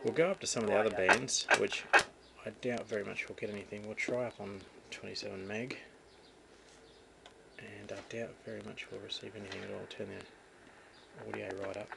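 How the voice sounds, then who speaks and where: A man with an Australian accent and a fairly low voice full of creak gives a casual, halting demonstration of a radio. He is recorded indoors on a camera mic, with loud static and hiss all around him. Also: little pitch movement. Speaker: radio hobbyist demonstrating a receiver. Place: indoors at a radio shack bench.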